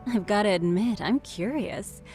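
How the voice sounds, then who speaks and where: A woman voicing a playful, flattering, worldly barroom confidante in a video game English dub, coquettish and teasing. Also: medium pitch, measured pace, soft background music, close to an everyday voice. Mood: encouraging.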